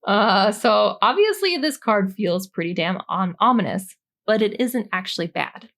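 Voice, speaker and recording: A woman's voice: mid-range voice, lively intonation, a little slow, creaky, fairly bright tone. A woman co-hosting a podcast, explaining tarot cards in an informal, jokey, giggly way that moves between reading notes and casual chat. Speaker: podcast co-host.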